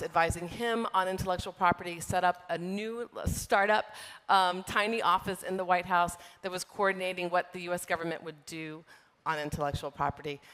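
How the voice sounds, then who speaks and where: A middle-aged woman answering interview questions on a conference stage, measured, with many fillers and restarts. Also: on the low side, fairly bright tone. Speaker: software trade group executive, guest. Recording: long reverb of a large hall.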